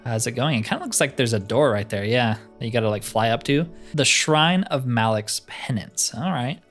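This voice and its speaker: A man with a medium-pitched voice talks his way through a video game. He thinks aloud in a casual, self-deprecating way, as a host would. Game music plays behind his voice. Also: expressive, moderate pace, a bit bright. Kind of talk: casual playthrough commentary.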